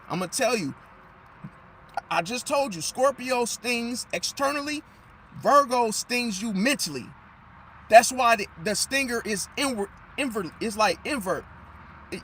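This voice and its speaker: A man, his high voice worn slightly hoarse, lectures with a preachy, emphatic rhythm. He keeps circling back to his point and asking questions he answers himself. Faint street traffic hums behind him. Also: sharp tone. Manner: preachy, emphatic, repeats phrases and asks rhetorical questions. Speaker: astrology commentator. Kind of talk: casual talking monologue to viewers.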